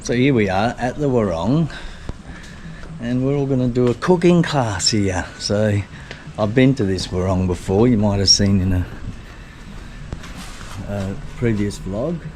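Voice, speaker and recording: Slow, laid-back man vlogging. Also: on the low side, slight background noise. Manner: relaxed, chatty, easily pleased. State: a little croaky. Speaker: travel vlogger. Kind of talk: casual vlog narration. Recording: loud guitar music behind.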